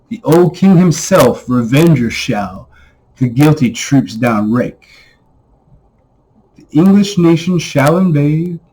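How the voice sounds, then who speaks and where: A man with a husky voice giving a solemn, declamatory recitation of an old text, phrased deliberately. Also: a little high, darkish tone, poor audio. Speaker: reader.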